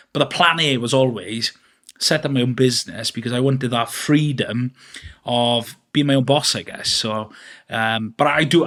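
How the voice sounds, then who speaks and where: A man in his thirties, speaking at an ordinary middle pitch for a man. He talks as an interview guest, chatty and rambling, full of fillers and restarts as he tells his own story. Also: fairly bright tone. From Wales. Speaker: podcast guest.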